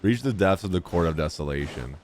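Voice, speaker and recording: A man's voice: deep voice, animated, fairly quick, darkish tone, a little hiss or hum. A man giving casual, enthusiastic, slangy gameplay commentary as a streamer. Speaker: game streamer. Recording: game music behind voice. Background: game music.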